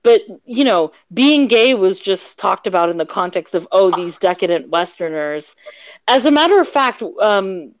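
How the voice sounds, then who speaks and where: A woman's voice: mid-range voice, expressive, moderate pace, dry recording. A woman guest telling her story frankly in a casual podcast talk. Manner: frank, candid, storytelling with fillers. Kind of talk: casual interview chat.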